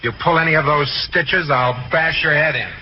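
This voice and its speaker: A man's voice: fairly high pitch, normal speed, close to an everyday voice. A man acting a brusque, affectionate, bantering doctor in a 1940s radio drama. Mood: brusque, fond. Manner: brusque, mock-threatening. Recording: dull old radio recording, faint noise.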